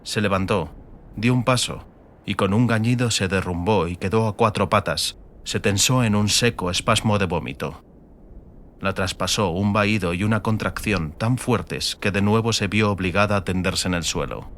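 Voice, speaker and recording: A man's voice: fairly low pitch, normal speed, fairly bright tone, slight background noise. A man reading a scripted, dramatic fantasy audiobook narration.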